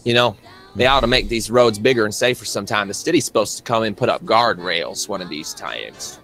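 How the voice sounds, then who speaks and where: A man's voice: fairly low pitch, fairly quick, a bit bright. A man voicing a lanky, simple small-town mechanic in a tabletop game, with a polite, folksy drawl and an unsettlingly friendly manner. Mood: mildly grumbling.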